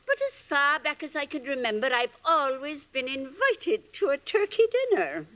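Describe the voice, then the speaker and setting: An actress playing a vain, middle-aged landlady in an old-time radio comedy, gushing and quick to take offense. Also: sits high, expressive, sharp tone. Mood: huffy, self-satisfied. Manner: gushing, indignant, theatrical. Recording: vintage radio show before studio audience.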